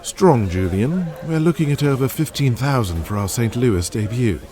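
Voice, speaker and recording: A man's voice: medium pitch, animated, normal speed, warm tone, close to an everyday voice. A man playing a businesslike, upbeat wrestling executive in an audio drama, reporting figures in a matter-of-fact way.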